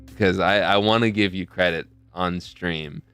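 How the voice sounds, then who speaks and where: Deep-voiced male streamer, rambling. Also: fairly even intonation, moderate pace, a bit bright, smooth, soft background music. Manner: rambling, loses his train of thought. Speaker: streamer hosting a charity stream. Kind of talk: casual live-stream chat. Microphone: desk mic close to a face camera.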